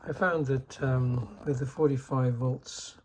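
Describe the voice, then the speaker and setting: A man giving a casual, unscripted technical demonstration in a halting, low-key way, like a restorer explaining equipment. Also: fairly even intonation, darkish tone, a little hiss or hum. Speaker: vintage radio restorer demonstrating a set.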